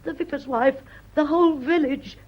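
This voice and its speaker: An actress playing a bitter, fiercely loyal housekeeper in a radio mystery, stiff and defensive. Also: female voice, high-pitched, moderate pace, lo-fi sound, natural voice. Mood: bitter, distraught. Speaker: actor in a radio play. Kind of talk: radio mystery drama acting.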